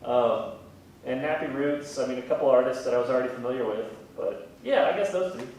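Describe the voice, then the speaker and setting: A man in his thirties chatting casually in an interview, with a wry, gently self-deprecating way of talking. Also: a little fast. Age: 30s. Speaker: museum communications specialist, interviewee. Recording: very echoey room, distant mic, faint rumbling noise.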